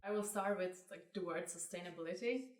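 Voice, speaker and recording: A young woman with a Czech accent, a designer giving measured interview answers peppered with "like". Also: on the low side, loud music behind the voice. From Czech Republic. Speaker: designer, co-founder of a clothing brand. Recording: echoey room, mic at a distance.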